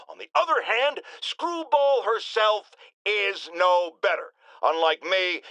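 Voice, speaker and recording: A pompous middle-aged American radio pundit from a video game. His voice sits fairly high and swings widely in pitch. He delivers self-righteous, opinionated monologues with theatrical, ranting emphasis, in a dry studio sound. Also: sharp tone, dry recording, exaggerated voice, poor audio. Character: blustering, self-righteous middle-aged male radio talk-show host. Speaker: radio talk-show host.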